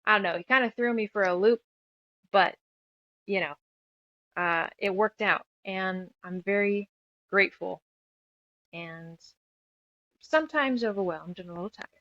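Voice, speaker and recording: A woman's voice: mid-range voice, a little slow, pauses often, no room sound, dull audio. A woman in her thirties telling her story casually as an interview guest, rambling and emphatic, piling up lists as she goes. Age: 30s. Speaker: guest author and musician.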